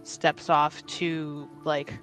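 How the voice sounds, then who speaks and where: A woman's voice: low-pitched, little pitch movement, drawn-out words, fairly bright tone, faint music behind. A young woman player thinking aloud as she describes her character's actions in a tabletop game, hedging often.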